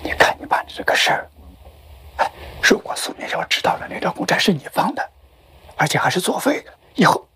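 High, bright-voiced exasperated husband. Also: male voice, sing-song, fairly quick, slight background noise, exaggerated voice. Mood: exasperated, reproachful. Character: exasperated husband fretting over neighbourly relations. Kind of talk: naturalistic TV drama acting.

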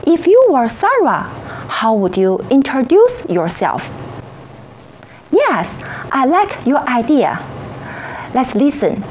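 Slow, sing-song woman teacher. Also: high voice, huge pitch swings, a little mellow, a little hiss or hum, rough recording. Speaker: English teacher. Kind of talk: children's language lesson.

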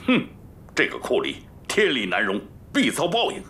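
A man's voice: mid-range voice, wildly swooping pitch, normal speed, sharp tone, a little hiss or hum. A man acting a blunt, outspoken, teasing comrade in a historical drama, with an exclamatory way of talking. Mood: scornful, indignant.

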